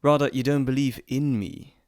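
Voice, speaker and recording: A man's voice: mid-range voice, steady tone, normal speed, darkish tone, no echo, lightly acted. A man playing a glib, dry-sounding trickster god. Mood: coaxing, amused. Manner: chatty, theatrical, teasing, self-interrupting. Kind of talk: scripted audio roleplay addressed to the listener.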